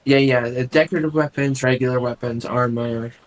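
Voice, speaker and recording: A man with a raspy voice playing in a tabletop game, talking hesitantly with many likes and ums. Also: medium pitch, fairly even intonation, a little fast. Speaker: player. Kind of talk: casual tabletop game chat.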